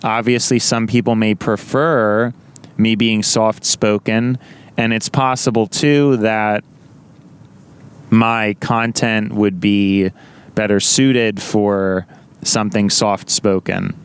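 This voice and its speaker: A young man with a naturally loud voice, rambling casually to the camera with many restarts and self-corrections. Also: lowish voice, little pitch movement, slow pace, clear, resonant tone. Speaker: vlogger.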